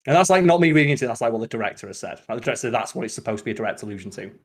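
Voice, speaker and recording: A man hosting a podcast, chatting casually and retelling scenes in an animated, rambling way full of filler "like". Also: mid-range voice, racing. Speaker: podcast host. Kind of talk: casual podcast chat about films.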